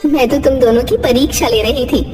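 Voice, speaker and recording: A woman's voice: high voice, a little fast, dark tone, big-room sound, natural voice. A woman voicing a forest fairy in a children's cartoon, theatrical and emphatic, with music behind. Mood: approving, kindly. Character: female forest fairy, stern tester turned kindly guide. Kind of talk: children's cartoon story dub. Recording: music under the voice.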